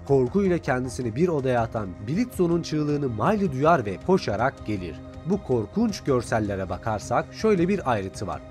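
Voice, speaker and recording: A man's voice: mid-range voice, a little mellow. A man reading scripted recap and review narration as a video narrator. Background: background music.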